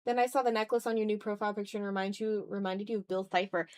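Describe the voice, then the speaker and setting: A woman's voice: medium pitch, steady tone, racing, a little mellow, clear, resonant tone, dry recording, clean studio sound. A tired woman chatting casually on an art livestream while she draws, self-deprecating and often trailing off into muttering to herself.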